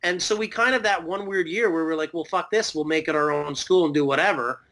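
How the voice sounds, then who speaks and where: A man's voice: on the high side, brisk, fairly bright tone. Rambling man in his thirties. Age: 30s. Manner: rambling, swears casually, many fillers. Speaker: podcast guest. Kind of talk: casual chat.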